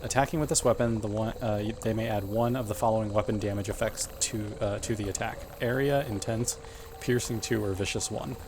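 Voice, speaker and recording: A man's voice: on the low side, fairly even intonation, fairly quick, noisy background. A man playing a tabletop role-playing game, talking casually as he works through his moves.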